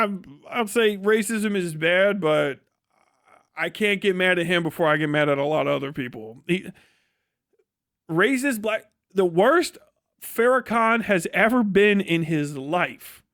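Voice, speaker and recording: High-voiced man, dry close sound. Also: a bit bright, no room sound. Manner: profane, slangy. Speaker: live streamer. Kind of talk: casual opinionated monologue.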